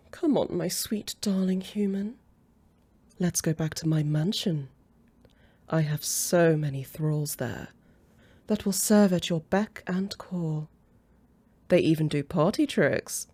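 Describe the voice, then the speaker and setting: Woman playing a slow, mellow, haughty female vampire. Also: on the low side, husky. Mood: flirtatious, haughty. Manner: drawling, taunting, chuckling condescension. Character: haughty, possessive aristocratic female vampire, mocking and flirtatious. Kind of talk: roleplay ASMR audio drama.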